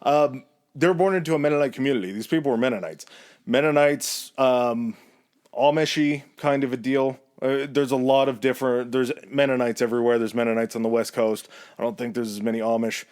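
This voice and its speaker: A man's voice: mid-range voice, fairly even intonation, a little fast, a little mellow. Male podcast co-host, casual storyteller, rambling and good-humored. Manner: chatty, rambling, laughs easily.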